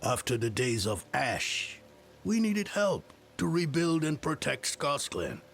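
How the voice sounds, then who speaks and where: An actor playing a world-weary older nobleman and former demon slayer in a fantasy game, grave and measured. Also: medium pitch, big pitch swings, gravelly, a bit bright, a little hiss or hum, exaggerated voice. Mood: defensive. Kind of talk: dramatic fantasy game acting.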